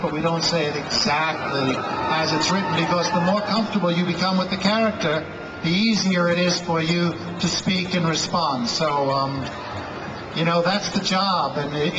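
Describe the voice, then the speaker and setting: A man with a slightly high voice explains his craft in a steady, even-paced way. He sounds muffled and dull, caught from a distance in an echoey hall with background noise. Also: moderate pace. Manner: steady, explanatory, uses comparisons. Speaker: actor on a fan-event panel. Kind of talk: measured explanation to fans.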